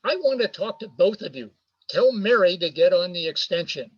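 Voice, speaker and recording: A man's voice: high voice, expressive, normal speed, breathy edge. A man acting a brash, teasing, jovial businessman in an amateur radio play, heard over a video call. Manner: hearty, teasing, boastful. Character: brash, jovial male businessman, George's old pal. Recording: muffled video call audio.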